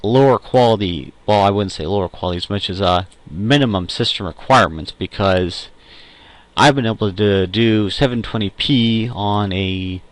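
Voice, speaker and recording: Low-voiced young man, halting delivery. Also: no room sound. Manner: hesitant, halting, self-correcting, with frequent pauses. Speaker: amateur audio log host. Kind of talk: casual unscripted tech and personal monologue.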